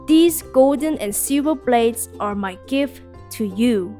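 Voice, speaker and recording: A woman voicing a gentle, kindly old fairy godfather in a storybook tale, with measured delivery. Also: a little high, lively intonation, soft background music.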